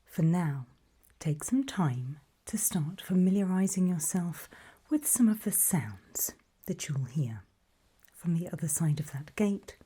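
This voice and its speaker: A calm, faintly sinister female official acted by a woman speaks in a very breathy whisper, slowly and softly. Her tone is low and mellow, measured and politely ominous, as in an ASMR roleplay briefing. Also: exaggerated voice.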